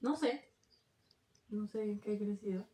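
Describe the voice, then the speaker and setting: A young woman with a Colombian Spanish accent, speaking calmly and briefly in a casual travel vlog. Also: mid-range voice, measured pace. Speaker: travel vlogger. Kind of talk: casual chat. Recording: echoey room with faint noise.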